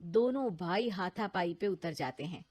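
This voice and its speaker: A woman's voice: lowish voice, lively intonation, measured pace, a little mellow. A woman reading scripted narration for a story.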